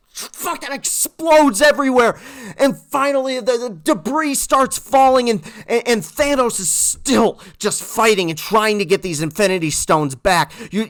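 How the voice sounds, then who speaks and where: Excitable man recapping a movie. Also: extremely high pitch. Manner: rambling, animated storytelling with swearing. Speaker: movie reviewer. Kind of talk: excited, casual film recap.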